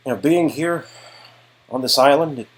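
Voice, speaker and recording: A man acting out a guarded adult man from a game, protective and wry, who opens up in halting, earnest confessions. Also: medium pitch, voice pushed up, close to an everyday voice. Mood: earnest, vulnerable. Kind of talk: dramatic game dialogue performance.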